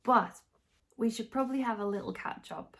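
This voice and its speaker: Young English woman, knitting vlog host; nasal and under the weather, gravelly fry, rambling chatty delivery. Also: on the high side, moderate pace, a bit bright. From England. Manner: chatty, rambling, frequent restarts. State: hoarse, congested, recovering from illness. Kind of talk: casual chat to camera.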